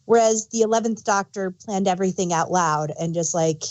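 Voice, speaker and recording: A woman's voice: medium pitch, brisk, darkish tone. A woman co-hosting a casual fan discussion, rambling and searching for words as she gives emphatic examples. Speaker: podcast co-host.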